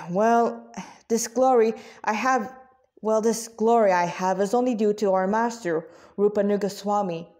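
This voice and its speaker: A woman reading the part of a serene, pious devotee in a story, measured and earnest. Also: medium pitch, darkish tone, lightly acted. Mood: humble. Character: serene, pious devotee, humble and gently teasing.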